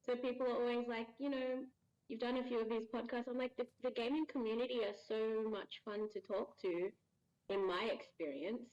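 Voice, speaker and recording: A woman talking as a guest in a casual interview, chatty and quick to laugh. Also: fairly high pitch, muffled recording. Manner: chatty, laughs often, lively. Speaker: guest voice actor.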